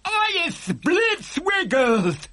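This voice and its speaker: A woman voicing hideous male giants who yell their names in drawn-out shouts. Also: very high voice, very animated, dry recording, pitched above the performer's own voice, exaggerated voice.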